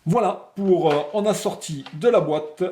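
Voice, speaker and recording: A man presenting a product unboxing, explaining technical details step by step and sometimes halting to find words. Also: high-pitched, a little mellow, slight background noise. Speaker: product presenter for a motorcycle accessories shop.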